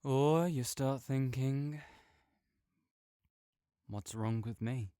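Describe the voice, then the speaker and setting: A man's voice: medium pitch, very slow, mellow, clean tone, no echo, clean studio sound. A young man in an audio roleplay, talking as a supportive friend in a playful, reassuring way.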